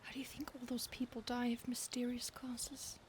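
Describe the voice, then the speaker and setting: A woman's voice: fairly high pitch, monotone, normal speed, lightly acted. A woman voicing an ancient, possessive female vampire stalker in an audio roleplay, mostly whispering close to the listener. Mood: menacing whisper.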